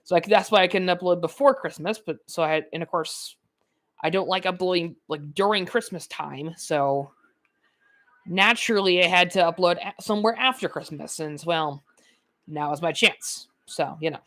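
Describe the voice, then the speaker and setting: A nineteen-year-old woman talks over her gameplay in a loose, rambling way, stacking fillers and breaking into little laughs. Her voice sits a bit low for a woman. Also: normal speed, a bit bright. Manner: chatty, filler-heavy, laughs often. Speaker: gaming YouTuber. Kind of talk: rambling let's-play commentary.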